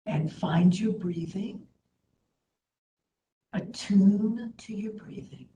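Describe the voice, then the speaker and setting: A woman instructor leading a guided meditation in a soothing, gentle manner, with hushed phrase endings. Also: mid-range voice, drawn-out words. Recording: roomy echo, faint background noise.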